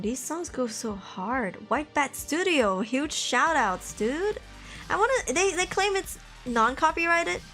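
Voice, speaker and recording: Chatty young woman streamer, higher voice. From Malaysia. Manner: chatty, laughs often, lively jumps in pitch. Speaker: live streamer. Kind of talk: casual live-stream chat, reading donation messages. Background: faint background music.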